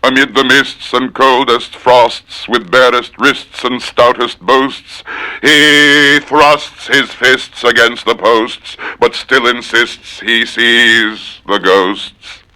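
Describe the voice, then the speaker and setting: A man's voice: medium pitch, little pitch movement, slow pace, sharp tone, low-quality recording. A man giving a theatrical recitation of wordplay with precise, dramatic enunciation. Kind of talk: theatrical tongue-twister recitation.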